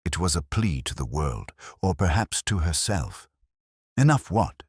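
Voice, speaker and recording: A man playing a melancholy older English police inspector, delivering a measured, solemn, literary monologue in a radio drama. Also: sits low, very animated, breathy edge, no echo, studio quality, full character voice. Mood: brooding, wistful. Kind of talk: scripted first-person radio drama monologue.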